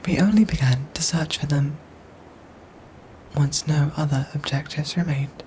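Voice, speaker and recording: Woman as android soldier for ASMR drama: low, soft and whispery, formal, measured, gently protective, over noisy ambience. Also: fairly even intonation. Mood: formal, matter-of-fact. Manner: measured, formal, robotic phrasing with careful pauses. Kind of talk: ASMR audio roleplay acting.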